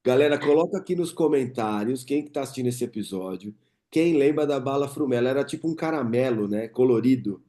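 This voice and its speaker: A man's voice: medium pitch, animated, a little fast, mellow. A man in his thirties from Brazil hosting a podcast, chatting casually and reminiscing with his guest. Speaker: podcast host. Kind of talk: casual interview chat.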